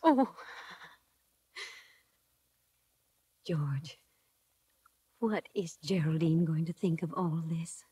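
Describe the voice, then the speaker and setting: An actress playing a coy, eager woman angling for a proposal, breathy and deliberate, in a 1940s radio drama. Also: sits low, dark tone, no room sound, exaggerated voice.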